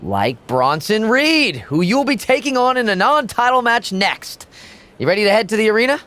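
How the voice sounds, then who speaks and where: A man's voice: sits high, very animated, normal speed, a bit bright. An actor playing a sly, sarcastic male assistant in a video game. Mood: smug. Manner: smug, sarcastic.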